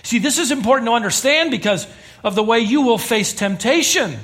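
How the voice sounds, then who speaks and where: A man's voice: very high voice, animated, a little fast, a bit bright. A man preaching a sermon to a congregation in an earnest, emphatic way, pressing his points with rising intensity. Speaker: pastor.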